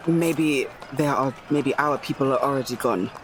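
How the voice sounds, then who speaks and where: A man's voice: mid-range voice, normal speed, slight background noise. A man chatting casually as a player in a tabletop roleplaying game, slipping between character and table talk.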